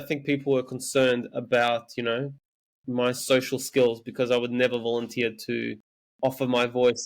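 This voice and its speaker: Young Serbian-born man, interview guest, reflective and candid, mid-range voice with some stammered restarts. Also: medium pitch. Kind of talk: reflective personal storytelling.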